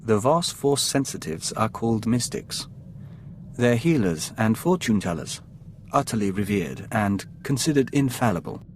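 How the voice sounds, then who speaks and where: Formal intelligence analyst over background noise. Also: male voice, on the low side, animated, unhurried. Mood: matter-of-fact. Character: crisp, formal male Imperial intelligence analyst. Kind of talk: cinematic video game briefing.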